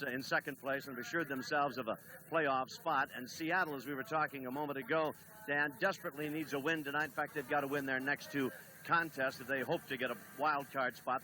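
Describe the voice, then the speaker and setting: A man doing play-by-play on a 1970s television soccer broadcast, briskly reading lineups and standings. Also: fairly high pitch, pushed and bright, a little hiss or hum, rough recording. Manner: brisk, reads lineups and stats. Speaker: play-by-play announcer.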